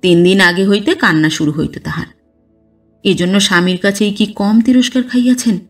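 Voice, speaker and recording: A woman reading a literary story aloud as a narrator, with expressive, dramatized delivery. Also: medium pitch, moderate pace, distant mic. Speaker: audiobook narrator. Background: background music.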